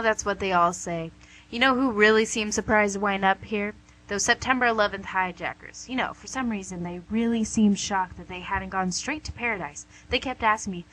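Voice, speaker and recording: A woman's voice: mid-range voice, fairly bright tone, lightly acted. An actress playing the Devil as a playful, charming, smug female boss in a comic radio play, chatty and theatrical. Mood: bossy, amused. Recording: noisy amateur recording with hum.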